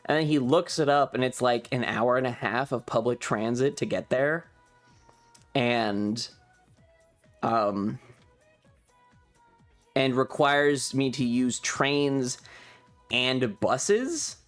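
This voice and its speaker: A streamer with an androgynous voice chats through a casual story over background music. The delivery is slow and rambling, with an exasperated manner and a voice that is starting to give out a little. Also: medium pitch. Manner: rambling, exasperated, acts out quoted dialogue. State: voice slightly going.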